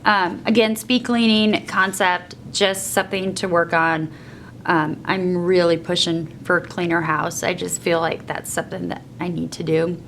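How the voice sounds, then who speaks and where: A woman's voice: lowish voice, level tone, normal speed, a bit bright. A young adult woman teacher with a stuffed-up nose from a cold, chatting casually with many fillers as she flips through her journal at home. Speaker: teacher and bullet journal vlogger. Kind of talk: casual flip-through narration. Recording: faint background noise.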